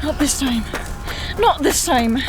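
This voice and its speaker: A woman's voice: high voice, expressive, slow pace, rough recording. Woman playing an anxious young mage. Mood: panicked. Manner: anxious, pleading delivery. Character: young woman mage, frightened and guilt-stricken. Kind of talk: audio drama acting. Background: music.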